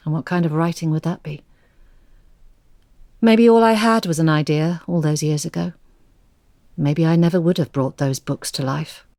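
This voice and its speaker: A tired, resentful older woman in an audio drama, played by an actress. Her voice is lowish and soft-edged, with measured, reflective phrasing that stays firm. The sound is dry and close. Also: no echo, close to an everyday voice. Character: weary, resentful older mother with thwarted writing dreams.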